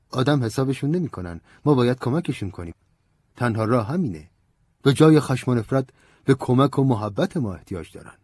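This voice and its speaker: A man voicing an old male priest who speaks in a gentle, preaching, earnest manner. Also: mid-range voice, breathy edge, dry recording, voice pushed up. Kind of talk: audiobook character voice.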